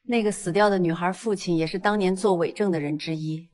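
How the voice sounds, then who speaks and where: A woman's voice: lowish voice, steady tone, brisk, darkish tone, lightly acted. A woman playing a cold, vengeful young criminal in an audio drama, coolly taunting and unrepentant. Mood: cold, scornful. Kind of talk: dramatized audio fiction acting.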